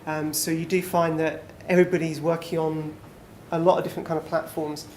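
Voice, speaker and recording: A man's voice: on the high side, brisk. A man giving a conversational conference presentation to a small group, with lots of fillers. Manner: relaxed, filler-heavy, conversational. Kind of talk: conference talk. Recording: echoey room with faint background noise.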